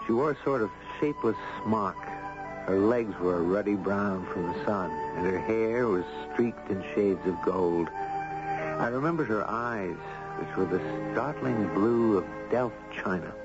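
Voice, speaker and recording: An actor playing an easygoing, puzzled dog owner who tells his story in a measured, conversational way in a 1970s radio drama. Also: fairly low pitch, over music. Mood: reflective. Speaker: actor narrating and playing the lead. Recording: dull, noisy old radio broadcast.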